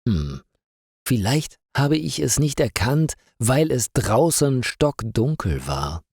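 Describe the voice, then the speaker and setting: A man's voice: mid-range voice, very animated, takes it slowly, creaky, a little mellow, breathy edge, no room sound, clean studio sound, full character voice. Man reading as an absent-minded young baker. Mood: puzzled. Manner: apologetic, pondering.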